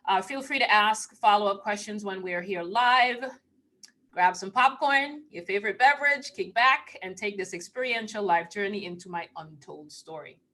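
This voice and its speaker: A woman's voice: medium pitch, fairly bright tone. A woman in her fifties from Trinidad and Tobago, chatting casually and playfully as she hosts an online live stream. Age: 50s. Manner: informal, playful, breaking into chuckles. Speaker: spiritual channeler hosting a live stream Q&A. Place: online live video call.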